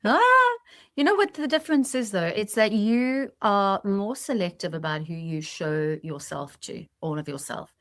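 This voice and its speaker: A woman's voice: medium pitch, animated, moderate pace, fairly bright tone, no room sound, studio quality. A woman hosting a podcast in a conversational, reflective way, explaining her thoughts to listeners. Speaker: podcast host.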